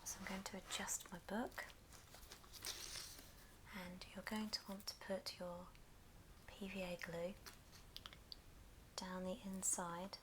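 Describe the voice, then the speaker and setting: A woman whispering softly and breathily as she gently hosts an unhurried ASMR craft tutorial, explaining things step by step. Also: mid-range voice, flat delivery. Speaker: host of an ASMR craft channel. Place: indoors at a friend's house. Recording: clearly noisy room, clicking sounds.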